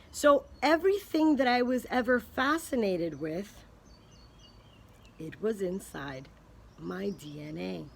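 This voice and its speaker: A woman vlogger thinking aloud in a casual, earnest way, pausing and restarting as she speculates. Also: mid-range voice, a little hiss or hum. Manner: unhurried, thinking aloud with many pauses and restarts. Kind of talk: casual spoken musing to camera.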